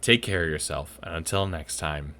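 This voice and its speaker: A man hosting a gaming video with casual commentary and animated asides and reactions. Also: low voice, moderate pace, heavy background noise. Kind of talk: casual let's-play commentary, reading game messages aloud.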